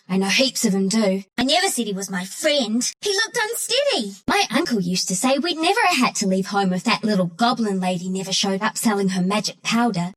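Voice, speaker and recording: A woman's voice: mid-range voice, lightly acted. A woman voicing a cheeky, scrappy young squirrel-like bandit heroine in a video game, with a brash, playful, slangy way of talking. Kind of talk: video game character voice lines.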